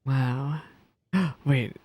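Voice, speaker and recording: A woman's voice: very deep voice, very slow, dark tone, slightly rough, dry recording, studio quality. A woman hosting a reaction video, commenting casually on a game as she plays.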